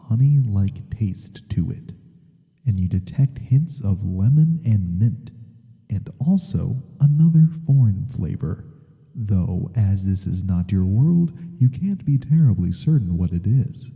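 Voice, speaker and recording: A man softly narrating a fantasy ASMR story in the second person, as scripted narration. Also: lowish voice, animated, unhurried, vocal fry, in a large hall.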